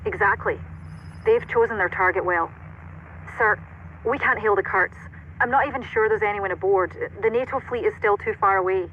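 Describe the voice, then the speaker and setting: A woman's voice: medium pitch, moderate pace. Radio-filtered female intelligence officer. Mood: grave, composed. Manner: formal, precise briefing delivery. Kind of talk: acted video game cutscene dialogue. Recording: narrow military radio link, faint noise.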